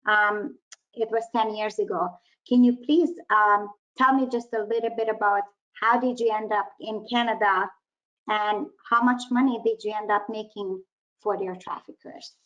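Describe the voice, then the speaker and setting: A woman's voice: mid-range voice, fairly even intonation, moderate pace, fairly bright tone. A woman hosting a webinar over a video call, politely introducing guests and thanking them. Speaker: webinar host. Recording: dull lo-fi video call audio.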